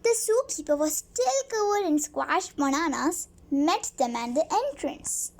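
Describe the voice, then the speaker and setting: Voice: high-pitched, animated, measured pace, vocal fry. A child reading a storybook aloud, stumbling over words and repeating phrases now and then.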